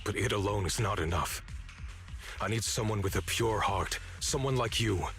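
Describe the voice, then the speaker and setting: Deep, solemn young man, voiced by an actor. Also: a bit bright, soft background music, close to an everyday voice. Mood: earnest, confessing. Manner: measured, solemn, formal. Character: grave, earnest older brother desperate to save his sister. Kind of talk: scripted video game voice acting.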